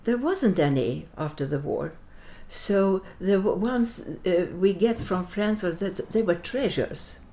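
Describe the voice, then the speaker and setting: An older woman from Sweden, a native Latvian speaker, answering interview questions reflectively in halting English as she searches for words. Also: medium pitch, lively intonation, dark tone. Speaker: interview guest.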